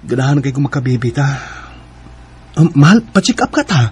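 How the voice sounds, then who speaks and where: An actor playing a devoted, emotional husband in a melodramatic radio drama. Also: male voice, medium pitch, very animated, a little fast, a little mellow, slight background noise, natural voice. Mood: tender. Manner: emotional, heartfelt delivery. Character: devoted, overwrought husband Clyde longing for children.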